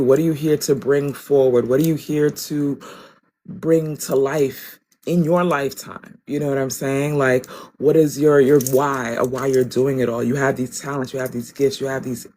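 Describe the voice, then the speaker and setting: Raspy woman doing a live tarot pull on her phone, lots of ums, faint noise behind. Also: very deep voice, steady tone, moderate pace, dark tone. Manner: loose, rambling, with many ums and asides. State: raspy, deeper than usual voice. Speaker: tarot and oracle reader. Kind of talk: casual livestream reading.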